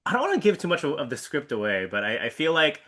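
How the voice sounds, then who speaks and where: A man's voice: on the high side, huge pitch swings, fast talker, sharp tone. A man hosting a casual interview, asking friendly but hesitant questions full of ums and restarts. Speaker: interviewer and project host.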